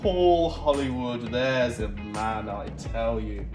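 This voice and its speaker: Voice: medium pitch, steady tone, slow pace, lightly acted. A performer playing a haughty, dotty, easily distracted Queen of Hearts in a pantomime baking show, imperious and rambling. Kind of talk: pantomime character hosting a baking segment. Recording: roomy, distant mic, music behind.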